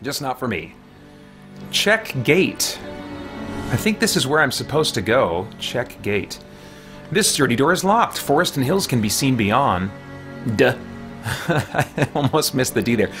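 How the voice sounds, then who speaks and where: A man's voice: mid-range voice, big pitch swings, a little slow, long pauses. Chatty man streaming a game over music. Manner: rambling, self-correcting, chatty. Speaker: live-stream gaming host. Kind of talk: casual game commentary, thinking aloud. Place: small home room, indoors.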